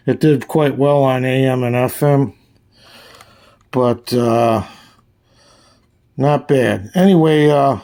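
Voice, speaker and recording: A man giving a casual, low-key hands-on product demo, haltingly thinking aloud as he goes. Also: darkish tone, clean tone. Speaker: radio review video host. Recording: noisy, with radio static and stations. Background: radio playing shortwave and broadcast stations.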